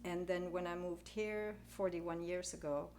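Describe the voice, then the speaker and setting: An older woman from Belgium, answering interview questions in a soft, hesitant way with many pauses and fillers. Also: fairly low pitch, fairly even intonation, slight background noise. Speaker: guest floral designer. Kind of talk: casual interview.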